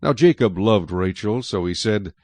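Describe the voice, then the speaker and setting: A man narrating scripture dramatically, in an expressive storytelling manner. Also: lowish voice, moderate pace, no room sound.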